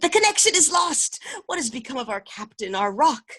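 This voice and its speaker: A woman's voice: high-pitched, big pitch swings, normal speed, pushed and bright, husky, heavily acted. A woman voicing an earnest, melodramatic female misfit in a mock-archaic radio play, dramatic and declamatory. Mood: urgent, solemn.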